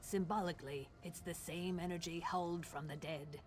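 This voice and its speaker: An actor playing a grim, fierce Argonian lizard-folk mage in a fantasy game, hissing and speaking in solemn, deliberate, formal phrases. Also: male voice, on the high side, fairly even intonation, lightly acted. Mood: resolute. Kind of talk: fantasy video game voice acting. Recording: game audio with music behind.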